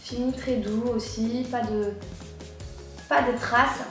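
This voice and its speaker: A woman's voice: a little high, steady tone, slow, far from the mic. Chatty woman with a head cold, echoey. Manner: chatty, rambling, frequent fillers. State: congested with a cold. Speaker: beauty vlogger. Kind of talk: casual makeup chat while applying products. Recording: very echoey room, off-mic, faint music.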